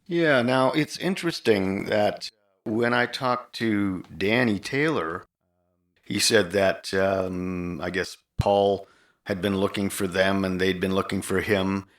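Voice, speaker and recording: A man's voice: low-pitched, slow pace. A man hosting a casual interview in a chatty, conversational style. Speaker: interviewer, host.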